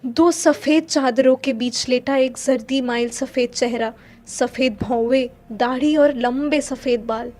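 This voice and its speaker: A woman's voice: high voice, steady tone, slow pace, warm tone. A woman narrator reading a literary short story in a dramatic storytelling style. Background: faint music.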